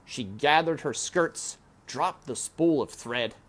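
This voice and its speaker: A woman's voice: very deep voice, takes it slowly. A woman storyteller reading a children's book aloud expressively, with chatty asides.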